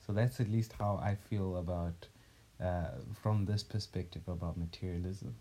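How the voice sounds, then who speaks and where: Man from Zimbabwe with a low, mellow voice. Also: fairly even intonation, normal speed, a little hiss or hum. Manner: earnest, thinking aloud with fillers. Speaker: podcast co-host. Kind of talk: casual podcast chat.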